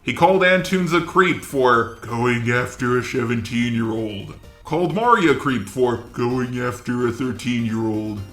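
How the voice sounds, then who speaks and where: A man's voice: mid-range voice, measured pace, a bit bright, roomy sound, soft background music. A man delivering blunt, sweary, opinionated commentary as a video host, often asking rhetorical questions. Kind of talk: opinionated drama commentary, talking to camera audience.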